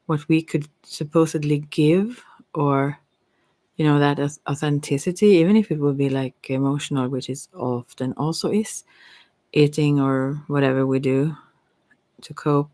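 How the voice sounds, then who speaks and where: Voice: medium pitch, fairly even intonation, a little slow, a little mellow, dry recording. An ASMR host with a voice of unclear sex, talking in a hesitant, meandering, reflective way. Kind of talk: ASMR, rambling personal talk.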